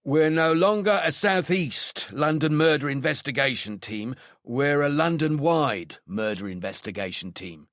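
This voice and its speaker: A man voicing a calm male squad leader in an audiobook, matter-of-fact and commanding. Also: a little high, measured pace, hardly any pauses, no room sound, studio quality, voice pushed up. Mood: quietly assured.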